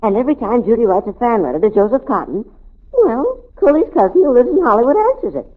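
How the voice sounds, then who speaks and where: Through a hissy, phone-like vintage recording comes a fairly high kid's voice. A sly, wisecracking younger brother teases dryly and schemes in an old-time radio comedy. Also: female voice, sing-song, fast talker. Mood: smug. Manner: dry teasing, scheming.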